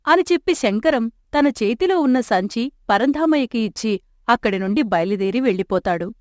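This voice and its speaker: A woman's voice: fairly high pitch, animated, moderate pace, dry recording, clean studio sound. Woman narrating a moral story.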